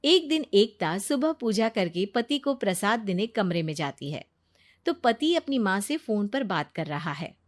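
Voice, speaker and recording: A woman's voice: medium pitch, very animated, unhurried. A woman storyteller narrating a scripted cartoon moral story with dramatic mock pity.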